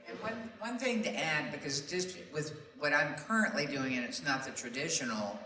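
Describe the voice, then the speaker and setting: Bright-voiced man in an echoey room. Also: fairly high pitch, expressive, moderate pace. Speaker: traffic reporting veteran on a panel. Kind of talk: animated anecdotal panel talk. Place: large room at a public meeting. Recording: roomy echo, distant mic, background noise.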